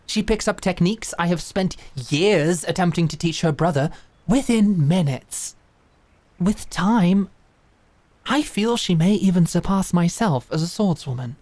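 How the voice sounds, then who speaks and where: A woman voicing an earnest, devoted female knight and sword instructor, formal and measured. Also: on the low side, expressive, warm tone. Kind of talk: fantasy audio drama acting.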